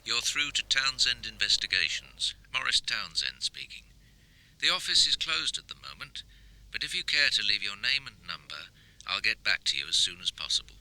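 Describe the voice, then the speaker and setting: An actor playing a private investigator, formal and measured on an answering machine recording. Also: male voice, on the low side, sing-song, bright, forceful tone, full character voice, low-quality recording. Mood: businesslike. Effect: answering machine playback.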